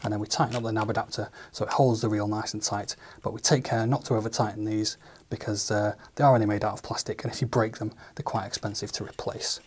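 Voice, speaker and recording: A man giving a relaxed, methodical hands-on tutorial as a studio engineer, walking through each step with the odd filler. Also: lowish voice, fast, few pauses, slight background noise. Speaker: studio engineer demonstrating equipment.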